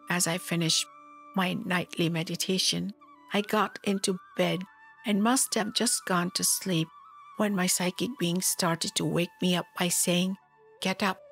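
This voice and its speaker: A woman reading a scripted spiritual memoir aloud in a measured, solemn manner. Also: fairly low pitch, little pitch movement, fairly bright tone. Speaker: spiritual teacher, narrator. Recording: music behind the voice. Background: music.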